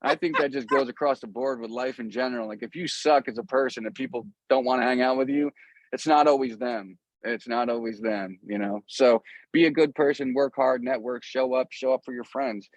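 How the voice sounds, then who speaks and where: A man's voice: mid-range voice, fairly quick, dry recording, poor audio. A man chatting casually as a musician being interviewed, in an earnest, rambling, slangy way.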